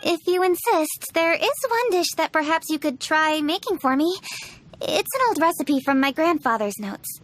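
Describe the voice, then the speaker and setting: A woman's voice: sits high, animated, moderate pace. A woman voicing a proud, composed yet self-conscious young noblewoman in a video game, formal and precise. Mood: reserved.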